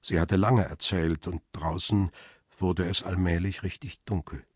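A middle-aged crime-drama narrator with a very low, heavily husky voice. He is reflective and restrained, telling his own story as if thinking it over. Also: steady tone, a little slow, a little mellow, dry recording, clean studio sound. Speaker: narrator and lead character. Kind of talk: radio drama narration and dialogue.

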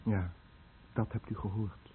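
A man's voice: fairly low pitch, steady tone, unhurried, lightly acted. An actor playing a dying, guilt-ridden fisherman who tells of his lost village in a brooding, bitter radio drama monologue. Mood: grim. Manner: brooding, bitter, confessional monologue addressed to the listener. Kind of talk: Dutch-language radio drama narration. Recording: muffled narrow-band old radio recording, faint noise.

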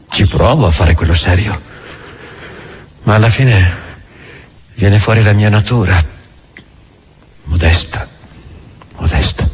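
Sardonic devil, wildly swooping delivery. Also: male voice, on the low side, breathy edge, exaggerated voice. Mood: sardonic, confiding. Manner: brooding, sardonic, halting then rushing. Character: devil, vulgar world-weary mocking tempter musing on doubt. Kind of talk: theatrical monologue in a radio drama.

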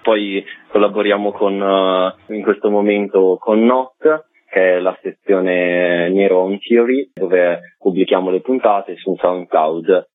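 A man's voice: low-pitched, steady tone, takes it slowly, dry recording. A man in his twenties giving casual, explanatory answers as a radio guest. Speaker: podcast maker, radio guest. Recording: dry, tinny phone line.

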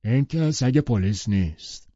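A man's voice: medium pitch, expressive, warm tone, dry recording, lo-fi sound, close to an everyday voice. A man voicing a brusque, commanding man in an audiobook, speaking bluntly and firmly. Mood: dismissive. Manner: blunt, firm, bossy.

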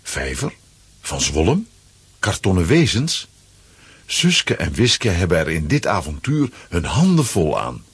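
A male narrator tells a children's adventure story in a lowish, very husky voice. His intonation is animated, and the recording is close and dry. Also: slow, no room sound. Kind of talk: scripted storytelling for a children's audio play. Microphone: close, dry studio microphone.

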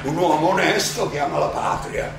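An actor playing a defiant, ironic old philosopher defending himself at trial, in a theatrical monologue full of rhetorical questions and dramatic pauses. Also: male voice, fairly high pitch, big pitch swings. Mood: ironic, challenging. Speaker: stage actor. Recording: echoey, distant, noisy stage recording.

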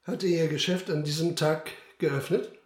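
An actor in a radio drama playing a restrained middle-aged police inspector, curt and matter-of-fact in dialogue and narration. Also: male voice, a little high, steady tone, unhurried, slightly rough, no room sound, studio quality. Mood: curt, probing. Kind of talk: radio drama acting with first-person narration.

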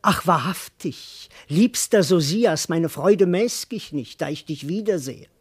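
A man's voice: on the high side, animated, measured pace, husky. A male actor puts on a noble princess in a classical radio comedy. The delivery is affected and courtly, full of gushing graciousness, in formal verse. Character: gracious princess Alkmene, imagined and mimicked by a servant.

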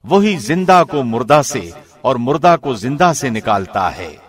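A man, a professional radio host, giving a calm, formal studio reading of a scripture translation. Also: mid-range voice, breathy edge. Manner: calm, even, formal delivery.

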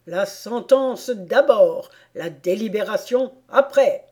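A woman voicing a tyrannical, furious queen of playing cards in a storybook, shouting imperious orders. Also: medium pitch, animated, slow, breathy edge. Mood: furious, roaring.

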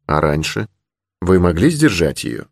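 A man's voice: low voice, sing-song, a little slow, gravelly, no room sound, clean studio sound, heavily acted. A man voicing a courteous, cautious young horseman protecting a lady in an adventure audiobook. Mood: courteous, curious. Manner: courteous, earnest. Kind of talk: audiobook character in adventure novel.

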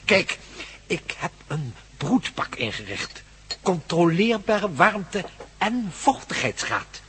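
Professor, radio drama: self-important, emphatic fanatic of science, high animated voice over faint noise. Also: male voice, slow, heavily acted. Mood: indignant, proud. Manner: emphatic, pompous. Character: obsessed, self-important male archaeology professor, cold-hearted about science.

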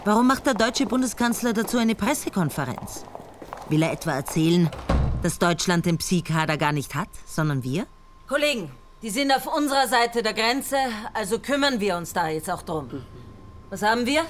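A woman with an Austrian accent narrating a radio drama in first person and speaking as a brisk, impatient intelligence chief. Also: medium pitch, big pitch swings, a little hiss or hum.

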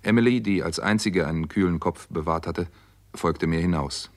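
An actor playing a sober American doctor who narrates a 1960s German radio crime drama in a measured, dramatic way. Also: male voice, low voice. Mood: grave, tense. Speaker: first-person narrator and lead actor.

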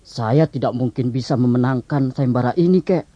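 A man's voice: medium pitch, moderate pace, dry recording, dull audio, natural voice. A man playing a humble, eager village boy in an audio fairy tale, polite and a little hesitant. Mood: polite, earnest.

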